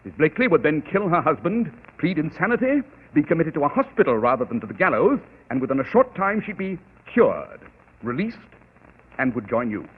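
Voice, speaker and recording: Arrogant master detective; incisive, husky, old broadcast. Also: male voice, a little high, very animated. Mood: cool. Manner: measured, precise, dryly cutting. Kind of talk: 1940s radio acting. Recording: tinny old radio broadcast.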